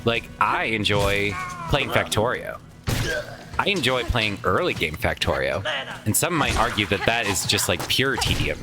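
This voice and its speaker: A man's voice: lowish voice, lively intonation, unhurried, music in the background. A man casually commenting while playing a video game, chatty and rambling with some swearing, his voice tired from a lot of recent use. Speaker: let's play host.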